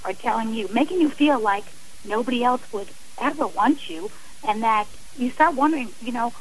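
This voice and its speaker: A woman's voice: fairly high pitch, moderate pace, a little hiss or hum, poor audio. A woman speaking as an interview guest on radio, earnest and emphatic, explaining with examples and repeated phrasing. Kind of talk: radio interview, personal and advisory talk.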